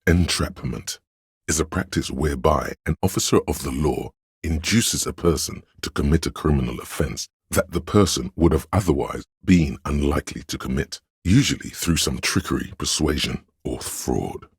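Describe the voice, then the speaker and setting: A man voicing a know-it-all inner voice of encyclopedic trivia in a video game, measured, pedantic and dryly wry. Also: extremely low pitch, breathy edge, no echo, clean studio sound, heavily acted. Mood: measured, erudite.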